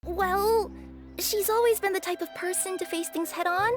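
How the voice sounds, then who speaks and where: A woman voicing a gentle, earnest young courier girl in a video game, polite and hesitant. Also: very high-pitched, steady tone, moderate pace. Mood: hesitant, concerned. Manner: hesitant, polite, soft-hearted. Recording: game music behind the voice.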